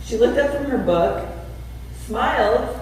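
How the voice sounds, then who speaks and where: A woman preaching a sermon in a church, telling a story and slowing down to stress key words. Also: on the high side, expressive, slight background noise. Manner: storytelling with slowed emphasis. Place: church sanctuary. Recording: echoey church hall from far off.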